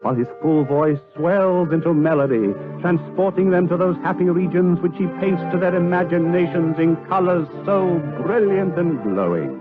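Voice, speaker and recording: Male actor as gothic drama narrator, solemn, rapt, ornate, measured, thin band-limited audio, music behind. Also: on the high side, lightly acted. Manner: grave, measured, ornate delivery. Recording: muffled narrow-band sound with music behind.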